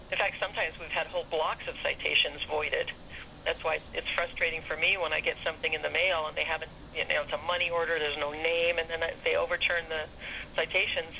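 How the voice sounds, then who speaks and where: A man's voice: a little high, vocal fry. A man working as an administrative clerk, carefully explaining procedure on a telephone call, correcting his terms as he goes. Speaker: parking citation administration clerk. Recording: bright telephone call with faint noise.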